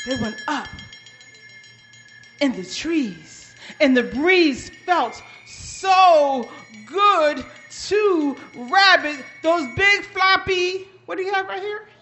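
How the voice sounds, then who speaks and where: A woman storyteller performs for children with lots of energy. Her voice is very high and forceful, and she speaks slowly and emphatically, leaving dramatic pauses. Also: animated.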